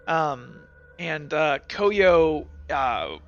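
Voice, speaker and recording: A man runs a tabletop game as game master, with a bright, clear voice set a little high. He improvises the story haltingly, circling back over phrases as he builds each scene. The audio is slightly dull, with music playing behind him. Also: animated.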